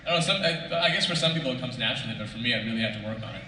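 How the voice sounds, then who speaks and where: A chatty man speaks off the cuff on a panel, rambling a little in a bright, forward voice. He is picked up from a distance in a big echoey hall with faint noise behind him. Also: mid-range voice, lively intonation, very fast. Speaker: voice actor on a panel. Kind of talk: casual unscripted panel talk. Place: large convention panel room, audience questions.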